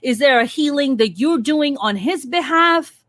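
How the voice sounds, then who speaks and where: A high-pitched woman from Pakistan hosts the conversation, asking curious, lively questions. Her voice is recorded close and dry, with no room sound. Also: unhurried, no echo. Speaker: host. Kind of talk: interview conversation.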